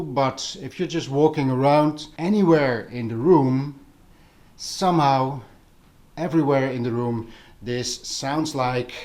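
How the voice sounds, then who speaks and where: A man talks as a vlog host in a casual, explanatory way. His delivery is very unhurried, with gaps inside sentences. The pitch sits in an ordinary middle range for a man. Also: a little mellow. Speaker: vlog host reviewing hi-fi audio gear. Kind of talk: casual informal product talk to camera.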